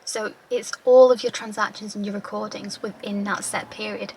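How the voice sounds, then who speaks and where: A woman tutor with a slightly croaky voice, patiently explaining accounting terms with everyday examples. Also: medium pitch, fairly even intonation, moderate pace, darkish tone, slight background noise, poor audio. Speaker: finance tutor.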